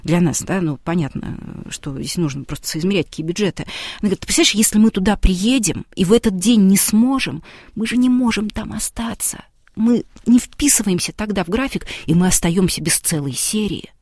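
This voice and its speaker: A woman guest on a talk show, telling a story and acting out the lines of the people in it. Her voice sits at an ordinary middle pitch and sounds dry and close, with no room sound around it. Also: brisk, husky, no room sound. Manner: lively storyteller who acts out quoted dialogue. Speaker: guest, television documentary maker.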